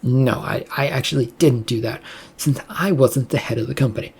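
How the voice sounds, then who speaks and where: A reader acting out a defiant male researcher from a story. He is blunt and flippant, in a soft-edged, husky mid voice that keeps probing. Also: medium pitch, moderate pace. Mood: confrontational. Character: defiant, smirking male researcher challenging his boss.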